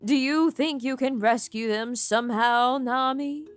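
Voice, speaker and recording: A woman voicing a prim, polite and sensible game creature with a formal, measured manner. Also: high-pitched, soft background music. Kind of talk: game character read aloud.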